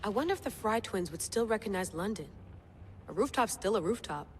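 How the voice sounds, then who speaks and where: An actress playing a young modern agent in a video game, casual and teasing in manner. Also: female voice, medium pitch, animated, brisk, a little hiss or hum, lightly acted. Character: young female modern agent, curious and restless. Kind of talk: video game cutscene acting.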